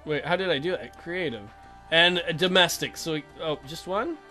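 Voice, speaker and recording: A man with a fairly high voice gives casual let's play commentary. He is irreverent and thinks aloud as he goes. Game music plays behind him. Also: normal speed, bright, forceful tone.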